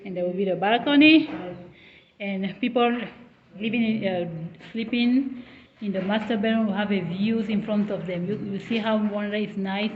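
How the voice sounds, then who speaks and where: A woman vlogger, speaking English as a second language, hosting a casual walking tour of a house under construction, with halting phrasing and restarts. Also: medium pitch, muffled recording. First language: not English. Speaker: travel vlogger, host.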